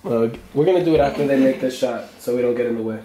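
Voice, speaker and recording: A man's voice: medium pitch, quick pace, mellow. Teasing young man in an echoey room. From Maryland. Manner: teasing, blunt slang. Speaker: friend and host of the house. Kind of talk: casual chat. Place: house interior.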